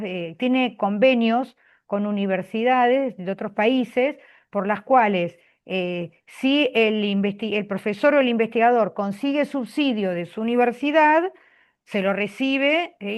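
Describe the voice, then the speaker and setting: An Argentine woman hosting a video call, talking chattily and dropping frequent "eh" fillers, speaking from a public office. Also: on the high side. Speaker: host. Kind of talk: video call closing remarks.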